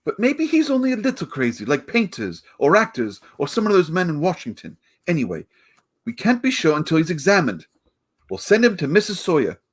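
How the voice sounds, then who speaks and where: A man's voice: fairly high pitch, huge pitch swings, brisk, husky, exaggerated voice. A man acting a fussy, placating department store manager in a 1940s-style radio play, chatty and fretful.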